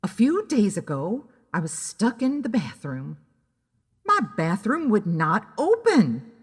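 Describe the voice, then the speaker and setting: A woman voicing a flighty, excitable, melodramatic female client in an audio story. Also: medium pitch, slow pace, husky, big-room sound, voice pushed up, full character voice. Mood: agitated.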